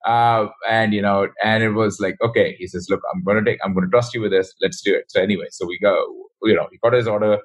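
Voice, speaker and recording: Fast-talking man with a husky voice. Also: fairly low pitch, steady tone, low-quality recording. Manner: fast, rambling, frequent restarts. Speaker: guest, ecommerce founder. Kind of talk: casual interview talk.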